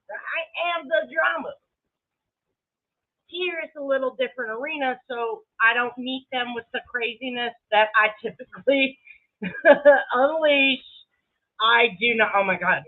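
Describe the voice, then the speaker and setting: A woman talking casually on a livestream in a brash, dramatic, sweary way, often laughing. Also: high-pitched. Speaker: livestream creator. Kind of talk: casual livestream commentary to viewers. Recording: muffled, narrow lo-fi sound.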